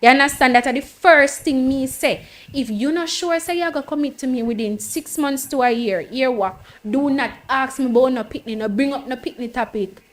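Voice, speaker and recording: A woman's voice: high-pitched, normal speed. A woman, talking emphatically in a casual group debate and repeating her points. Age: late 20s. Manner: emphatic, storytelling, repeats herself. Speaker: panel guest.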